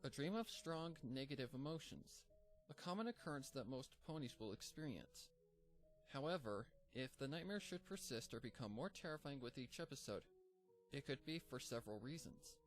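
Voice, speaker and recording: A man reading a fanfic aloud, voicing an earnest, bookish young female pony in a gentle, lightly raised voice. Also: medium pitch, animated, normal speed, faint music behind, natural voice. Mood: studious.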